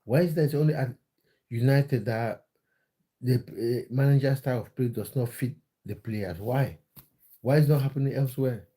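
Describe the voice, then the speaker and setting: A man from Cameroon talks casually to his livestream audience, reading out their comments and replying. His voice is mellow and dark. He hesitates often and repeats himself as he thinks. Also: mid-range voice, normal speed. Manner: reads out viewer comments and answers them, with many fillers and repeats. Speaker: livestream host answering chat. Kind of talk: casual livestream chat about football.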